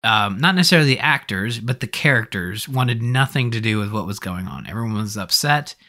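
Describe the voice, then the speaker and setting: A man's voice: lowish voice, expressive, fairly quick, sharp tone. A man hosting a podcast, discussing films in a casual, conversational and hedging way. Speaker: podcast host.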